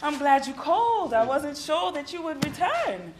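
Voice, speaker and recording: An actress playing a patient therapist in a stage play, asking measured, soft-spoken questions. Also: female voice, sits high, big pitch swings, slight background noise. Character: calm female therapist, patient and probing.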